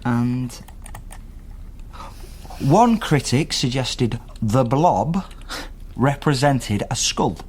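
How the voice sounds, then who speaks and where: Lively, inquisitive male investigator. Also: mid-range voice, very slow, pauses often, breathy edge, full character voice. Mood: intrigued. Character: eager, inquisitive male investigator tracing an artist's family. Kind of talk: naturalistic radio drama acting.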